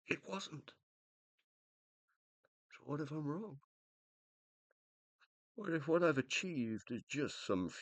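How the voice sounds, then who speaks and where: A man's voice: medium pitch, no room sound. An actor in a radio drama playing an anguished male scientist whose mind lives on after death, intense and questioning. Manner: intense, questioning, self-doubting. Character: anguished dead male scientist living as uploaded consciousness.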